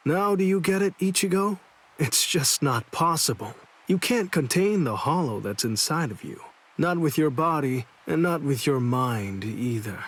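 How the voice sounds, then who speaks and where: Laid-back mocking leader, husky and bright-pitched, game dub. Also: male voice, expressive, measured pace, heavily acted. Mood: stern. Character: laid-back sly male swordsman leader. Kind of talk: video game cutscene dub.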